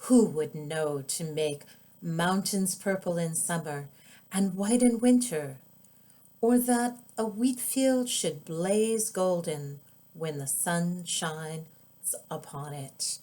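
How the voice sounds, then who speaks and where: A woman reading her own children's book aloud as storybook narration, with expressive, deliberate, drawn-out phrasing. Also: on the low side.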